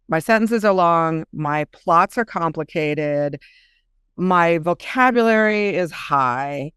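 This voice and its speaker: A woman's voice: on the low side, clean tone, dry recording, studio quality. A woman speaking as a guest author in an interview, explaining things in an informal, conversational way.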